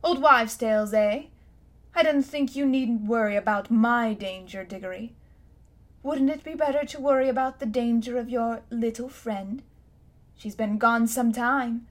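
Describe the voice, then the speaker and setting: A haughty, blustering old magician uncle, cruel and full of his own importance, as a woman puts him on in a storybook reading. He talks down from on high in a loud, lofty voice. The voice sits a little high. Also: natural voice.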